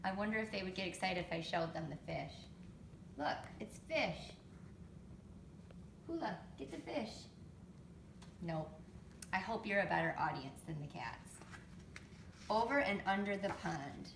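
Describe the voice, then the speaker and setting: A woman reading a picture book to young children expressively, pausing to explain things and ask them questions. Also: medium pitch, animated, creaky. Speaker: storytime reader, teacher to young children. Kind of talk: picture book read-aloud with chatty asides. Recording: roomy, distant mic, faint background noise.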